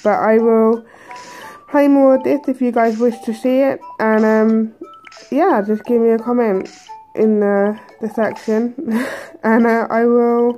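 A woman talks over a handheld game she plays at a table indoors, her voice mellow and a little croaky from a cold. She rambles casually with frequent ums and hesitations. Faint game music plays underneath. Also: a little high, fairly even intonation, unhurried, lo-fi sound. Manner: chatty, hesitant with many ums. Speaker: let's play host.

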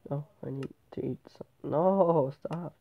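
A man's voice: on the high side, a little slow, creaky. A male gamer with a stuffy nose from a cold, rambling through casual game commentary and often correcting himself. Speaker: gameplay channel host. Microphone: tablet microphone. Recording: tinny, phone-like tablet recording.

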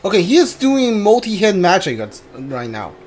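A man streaming a game with casual, halting commentary, often repeating himself. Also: high voice. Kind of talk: casual live playthrough commentary. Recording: steady background noise.

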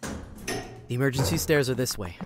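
Bossy heist planner, lively pitch, a man acting. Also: normal speed, background noise, close to an everyday voice. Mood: commanding. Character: bossy, impatient male planner of a heist crew. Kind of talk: audio drama acting.

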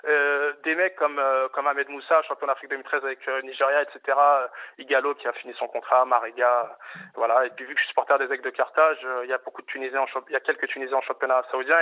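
Man arguing football over a phone line. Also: a little high. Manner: insistent, lots of fillers and restarts. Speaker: radio caller. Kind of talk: animated phone-in football debate. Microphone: telephone.

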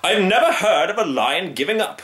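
A man's voice: sits high, lively intonation, brisk, fairly bright tone, echoey room, voice pushed up. A man voicing a pompous, alarmist hunter from a storybook, speaking in an emphatic, exclamatory way. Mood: blustering, insistent. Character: blustering, alarmist, pompous male lion hunter.

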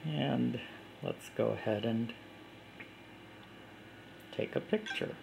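A man giving a plain, unhurried walkthrough of an app, recorded on a camera mic indoors at a table. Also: medium pitch, vocal fry, noisy background. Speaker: app developer demonstrating his app. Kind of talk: casual product demo.

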